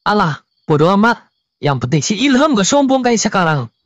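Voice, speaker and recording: A man's voice: high voice, sing-song, brisk, background noise. A man voicing a blunt, protective and resentful young hotel worker in a cartoon, using slang. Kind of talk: cartoon dialogue, casual slang.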